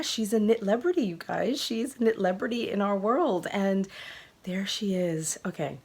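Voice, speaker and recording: A woman hosting her podcast, telling a story to viewers in a gushing, excitable way, her voice a little croaky from a cold. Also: medium pitch. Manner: gushing and excitable, laughing often. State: recovering from a sore throat. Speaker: podcast host.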